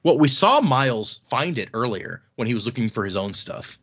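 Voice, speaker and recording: A man's voice: mid-range voice, sing-song, normal speed, a bit bright, breathy edge, muffled recording. A man hosting a podcast, retelling a fantasy novel in casual, colloquial language with wry asides. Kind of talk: casual chat, informal book recap.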